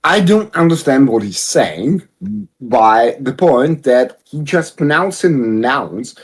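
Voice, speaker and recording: Guy with an accent telling a story, pitch jumps all over, acts out what people said. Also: slow, breathy edge. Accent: non-native English. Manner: animated storytelling, acts out quotes. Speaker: podcast participant. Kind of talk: casual podcast chat.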